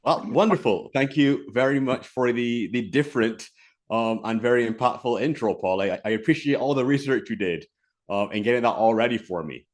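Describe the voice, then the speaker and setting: A man from Barbados, around his thirties, speaks in a middle-pitched voice at an online showcase. He talks in a friendly, measured way and explains things carefully. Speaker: keynote speaker. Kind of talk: online presentation.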